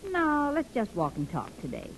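An actress playing a worldly, motherly young chorus girl with a brisk, breezy manner, in 1930s radio drama style. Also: on the high side, wildly swooping pitch, slight background noise, low-quality recording.

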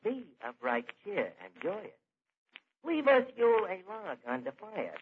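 An actor playing a wisecracking, blustering New York tavern manager in an old-time radio comedy, with slangy, hesitant patter. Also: male voice, high-pitched, wildly swooping pitch, a little slow, full character voice. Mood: self-important. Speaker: comedy show lead. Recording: dull, noisy old radio recording.

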